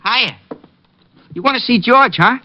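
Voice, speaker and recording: Sly, mocking gangster. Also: male voice, very high voice, measured pace, bright, forceful tone, full character voice. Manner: sly, mocking tough-guy drawl. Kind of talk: 1950s radio acting. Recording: dull lo-fi old radio broadcast.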